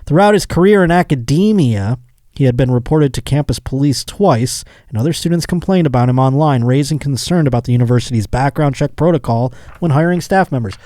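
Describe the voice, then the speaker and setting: A man's voice: medium pitch, brisk, dark tone, no room sound, clean studio sound. A man hosting a casual comedy podcast, reading news stories aloud and riffing on them with sardonic, profane asides. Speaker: podcast host.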